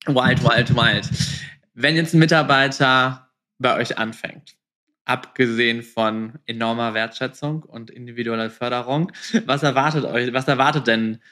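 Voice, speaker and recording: A man's voice: mid-range voice, steady tone, normal speed, sharp tone. A man hosting a podcast, interviewing in a casual, colloquial way with English phrases mixed in. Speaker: podcast host.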